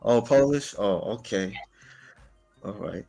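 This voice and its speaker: A man hosts a casual interview, talking slowly and haltingly with many fillers. Faint music plays behind him. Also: fairly low pitch, creaky, dark tone, low-quality recording. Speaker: podcast host.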